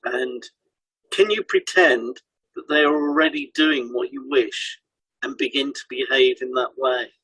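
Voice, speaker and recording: Man, podcast co-host, instructional scripted delivery, a little husky, average pitch, dry recording. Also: fairly even intonation, measured pace, bright, forceful tone, no room sound, low-quality recording.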